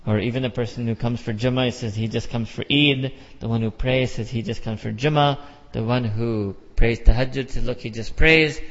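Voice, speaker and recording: A middle-aged American man giving an emphatic sermon with repeated phrases and rhetorical questions. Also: mid-range voice. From United States. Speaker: imam. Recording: dull lo-fi sound with long hall reverb.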